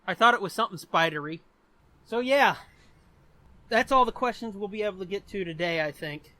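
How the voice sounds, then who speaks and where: A man's voice: high-pitched, a little fast. A man hosting a podcast in casual chat, explaining things in a blunt, sweary way. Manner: profane, blunt, animated explaining. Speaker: podcast host.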